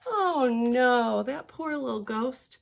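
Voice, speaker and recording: Female children's storyteller: slightly high, hugely varied pitch, expressive read-aloud with chatty asides. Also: takes it slowly, vocal fry. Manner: theatrical and expressive, with gasps and hushed asides.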